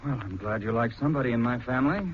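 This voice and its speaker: An actor playing a gruff, skeptical, down-to-earth husband with a blunt, slangy way of talking in a 1940s radio drama. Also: male voice, mid-range voice, very fast, natural voice. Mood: scoffing. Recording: dull, noisy 1940s radio recording.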